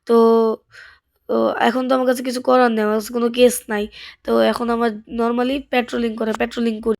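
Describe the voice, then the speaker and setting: A Bangladeshi woman hosts casual gameplay commentary in a high voice with a crackly edge. She talks chattily, thinking aloud and tossing out questions as she plays along with the roleplay. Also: little pitch movement, a little fast, gravelly, a little mellow. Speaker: gaming video host.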